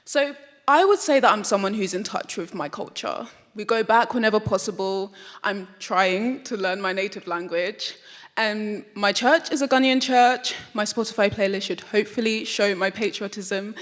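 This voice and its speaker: A woman's voice: medium pitch, moderate pace. A young British woman student giving an earnest, reflective talk to a live audience. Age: young adult. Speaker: student speaker. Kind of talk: prepared public talk. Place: lecture hall with audience. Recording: long hall reverb.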